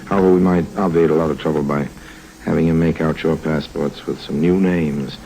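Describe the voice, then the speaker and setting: An actor playing a roguish, smooth-talking con man on the run in 1950s radio drama, with a rich voice and a wry, urbane manner. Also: steady tone, fairly quick, warm tone, slight background noise, low-quality recording. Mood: suave, wry. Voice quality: deep, rich voice. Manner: wry, urbane, dryly ironic. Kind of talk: 1950s radio drama acting with first-person narration. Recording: old 1950s radio recording.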